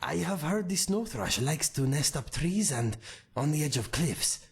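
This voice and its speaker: A man voicing a gentle, worried male cat-folk companion in a game, with a breathy, husky voice. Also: a little high, expressive, a little slow, heavily acted. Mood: shaken. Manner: soft-spoken, worried, polite.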